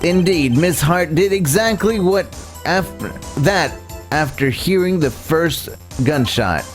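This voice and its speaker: A man voicing a young defense lawyer in a courtroom video game, earnest and nervous but determined, explaining in halting, formal speech. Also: a little high, animated. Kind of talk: live voiced playthrough of a courtroom video game. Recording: game music under the voice.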